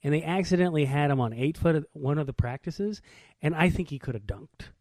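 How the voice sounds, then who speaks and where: Higher-voiced American man, slangy casual co-host. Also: lively intonation, brisk, darkish tone, no echo, studio quality. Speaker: podcast co-host. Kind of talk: casual chat.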